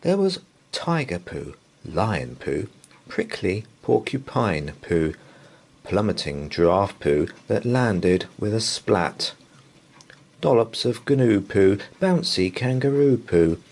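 A man's voice: fairly low pitch, very animated, gravelly, darkish tone. A man reading a children's bedtime story aloud in a playful, theatrical way. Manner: playful, theatrical, drawn-out delivery. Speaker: storybook reader.

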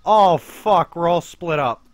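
A man's voice: on the high side, lively intonation, takes it slowly, slight background noise. A man casually chatting while playing a co-op zombie game with a friend, joking, rambling and laughing often. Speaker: gameplay commentator. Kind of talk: casual gameplay chat with a friend.

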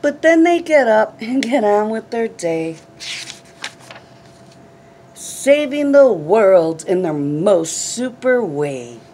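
A middle-aged woman reading a children's picture book aloud in an expressive, deliberate, phrase-by-phrase way. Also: medium pitch. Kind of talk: read-aloud storytelling. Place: small classroom, indoors. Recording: clearly noisy room recording.

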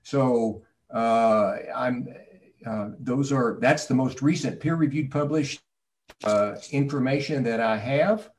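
Halting male expert, distant mic. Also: mid-range voice. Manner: hesitant, halting, careful. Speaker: expert panelist with a doctorate. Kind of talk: technical explanation answering questions. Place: video call. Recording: distant mic on video call.

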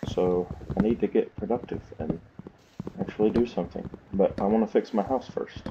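A man's voice: fairly low pitch, steady tone, moderate pace, muffled recording. A man with a Southern US accent rambling casually to himself as he gives gameplay commentary. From Southern United States. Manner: self-talking, rambling. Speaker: gaming series host. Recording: clearly noisy home recording with clicks.

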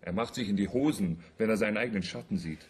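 A man's voice: on the low side, quick pace, husky. An actor in a radio drama playing a brash, impatient young man with a blunt, sneering manner. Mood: scornful. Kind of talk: German radio drama acting.

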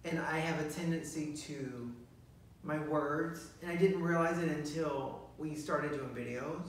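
A middle-aged man with a Southern US accent, chatting casually as a co-host, with words that run together and many pauses and restarts. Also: a little high, distant mic, a little hiss or hum. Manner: mush-mouthed, pauses and restarts while thinking. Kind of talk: casual chat. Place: living room, seated on a leather sofa. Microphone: phone camera from across the room. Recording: very echoey room, voice off-mic.

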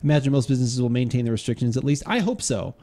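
A man's voice: mid-range voice, lively intonation, racing, mellow. A man in his forties hosting a live-stream podcast from a home studio, rambling and joking with lots of fillers into a studio mic. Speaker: podcast host. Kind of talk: casual live-stream chat. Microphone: studio mic on boom arm.

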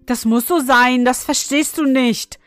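A woman's voice: fairly high pitch, moderate pace, no echo, soft background music, close to an everyday voice. A woman doing a brash, mocking boy. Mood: scornful. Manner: loud, scornful and demanding.

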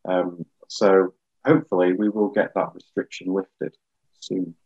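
A man in his thirties giving measured explanations as a geologist guest on a webinar video call. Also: low voice, level tone, a little mellow, slightly rough, highs cut off. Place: small room, indoors, on a video call.

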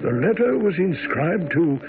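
An actor playing a genial Victorian gentleman companion in a radio drama, with expressive, old-fashioned storytelling diction. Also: on the high side, measured pace. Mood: narrating. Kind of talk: radio drama narration and dialogue. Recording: tinny, narrow-band vintage radio broadcast.

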